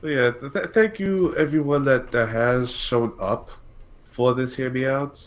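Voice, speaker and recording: A man's voice: medium pitch, a little slow. A man co-hosting a casual live stream podcast, speaking a little hesitantly and often repeating himself. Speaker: podcast co-host.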